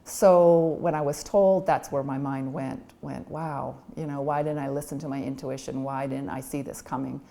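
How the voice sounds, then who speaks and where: Casting note: woman, forties, low warm voice, candid and reflective, documentary interview. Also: fairly even intonation, normal speed, vocal fry, few pauses. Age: 40s. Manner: candid, reflective, with frequent ums.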